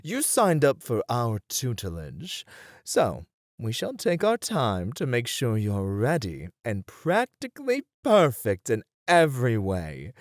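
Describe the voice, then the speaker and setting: A man playing a courtly, gently teasing gentleman tutor of flirtation in a scripted ASMR roleplay, with genteel, flowery, measured speech. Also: on the low side, dry recording, clean studio sound.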